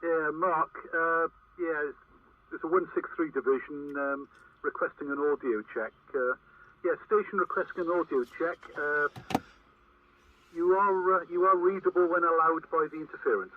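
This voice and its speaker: A man relaying calls casually over CB radio in a halting, hesitant way. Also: a little high, steady tone. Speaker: CB radio operator. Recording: tinny, very noisy CB radio transmission.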